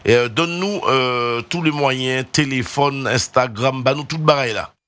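A man hosting an informal interview show, talking in a wordy, halting way and often repeating his phrases. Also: mid-range voice, fairly bright tone, clear, resonant tone. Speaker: radio show host.

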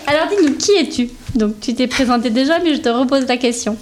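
A woman's voice: sits high, a little fast, a little mellow, slight background noise. A woman hosting a local radio show, asking questions in a friendly way and laughing often. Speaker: radio host. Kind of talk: casual radio interview. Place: small local radio studio.

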